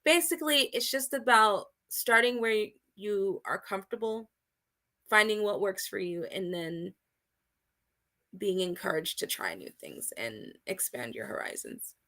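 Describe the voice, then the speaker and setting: Bright, enthusiastic woman guest. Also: mid-range voice, very animated, unhurried. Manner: enthusiastic, emphatic, swings loud and soft. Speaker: guest, outdoor community organizer. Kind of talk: casual interview chat.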